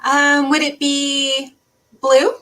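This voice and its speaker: A woman's voice: high-pitched, little pitch movement, drawn-out words, a bit bright. A woman co-hosting a children's read-aloud as a librarian, chatting in an eager, agreeable way. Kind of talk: children's storytime chat.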